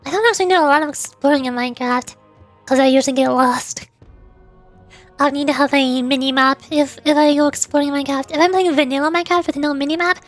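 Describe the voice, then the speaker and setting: High-voiced woman chatting casually on stream. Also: fairly even intonation, fast talker, soft background music. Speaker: VTuber livestreamer. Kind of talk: casual livestream chat answering viewers.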